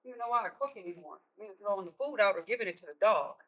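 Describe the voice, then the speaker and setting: A woman's voice: medium pitch, expressive, fast talker, a bit bright, poor audio. A woman playing a no-nonsense American mother of a teenager in a stage play, with folksy, scolding phrasing. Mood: weary, casual.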